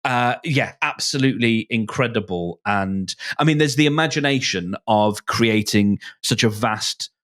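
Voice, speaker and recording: A middle-aged man with a mid-range voice talks casually in a discussion. He is enthusiastic but halting, building his thoughts in stop-start phrases. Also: mid-range voice, a bit bright. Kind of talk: casual discussion of books and films.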